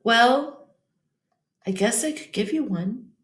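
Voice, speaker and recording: A woman's voice: fairly high pitch, lively intonation, slow. A woman voicing a prickly cactus with a curt, exclaiming manner in a children's storybook. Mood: grudging. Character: prickly, grumpy cactus who secretly feels lonely. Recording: echoey room.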